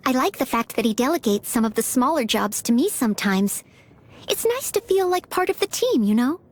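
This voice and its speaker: A woman's voice: high voice, very animated, brisk. A woman voicing an earnest, polite teenage maid and aspiring knight in a video game, eager to please. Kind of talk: video game character voice lines. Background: background music.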